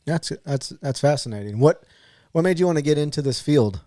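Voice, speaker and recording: A man hosting a casual podcast interview, asking questions haltingly with frequent restarts. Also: a little high, lively intonation, dark tone, no echo, clean studio sound. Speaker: podcast host.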